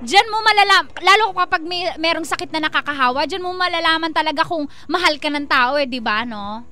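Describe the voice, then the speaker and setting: A woman with a Filipino accent and a high-pitched voice co-hosts a radio show. She talks casually and with animation, teasing and playfully scolding as she gives her take. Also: animated, brisk, pushed and bright. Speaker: radio co-host. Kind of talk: casual radio advice banter.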